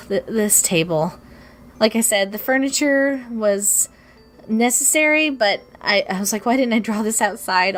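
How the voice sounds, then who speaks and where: A young woman with a cold, her voice raspy and sniffly, rambling casually and self-deprecatingly over her art video with many ums and restarts. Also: mid-range voice, fairly bright tone. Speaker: artist narrating her speedpaint.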